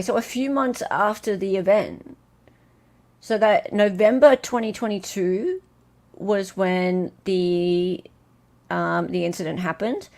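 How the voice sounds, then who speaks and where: An Australian woman hosting a casual live-stream chat, excitable and talkative, reading out viewers' comments. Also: mid-range voice. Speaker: livestream host.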